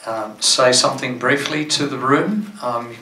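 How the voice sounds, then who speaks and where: A man chairing a forum Q&A, brisk and informal. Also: mid-range voice, roomy sound. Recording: loud crowd and noise, distant.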